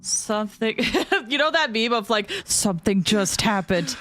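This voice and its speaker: An excitable woman livestreaming a game. Her voice is very bright and swings widely, with an unhurried pace between exclamations as she chats casually. Music plays quietly behind her. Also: medium pitch, a little hiss or hum. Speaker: streamer. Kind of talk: live game-stream reactions, casual chat with viewers.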